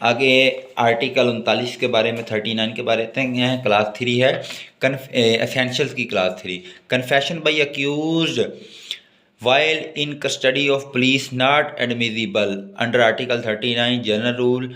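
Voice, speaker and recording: Pakistani guy teaching law, bright forceful voice, slow careful reading then explaining. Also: mid-range voice, fairly even intonation. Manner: switches between slow English reading and quick Urdu explanation. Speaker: law lecturer. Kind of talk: lecture, reading legal notes aloud and explaining them.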